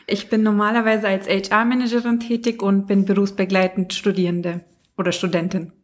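A woman's voice: medium pitch, flat delivery, moderate pace. A woman in her late twenties, a podcast guest speaking candidly in casual chat. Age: 20s. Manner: candid, laughs easily.

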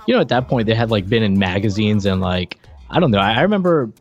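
A middle-aged man hosting a podcast, chatting informally and jokingly about retro games in a halting way. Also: on the low side, animated, muffled recording, soft background music. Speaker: podcast host. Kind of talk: casual retro game review chat.

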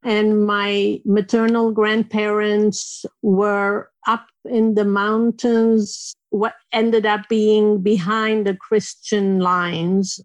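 A woman in her sixties from Lebanon, a guest telling her personal story in a measured, thoughtful way. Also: mid-range voice, little pitch movement. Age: 60s. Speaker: interview guest.

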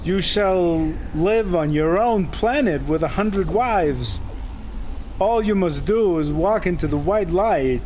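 A man's voice: high voice, expressive, slow, darkish tone, slight background noise. A man playing a solemn cult leader in a sci-fi comedy audio drama, making ceremonial pronouncements. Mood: solemn, commanding. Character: solemn male cult leader preparing human sacrifices.

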